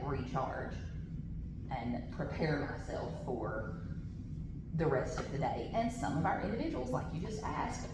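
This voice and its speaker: Loud-voiced woman trainer in an echoey room. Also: low-pitched. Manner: chatty, personal asides, frequent restarts. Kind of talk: classroom training talk. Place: large training room with tables. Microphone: camera on the table. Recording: echoey room, background noise.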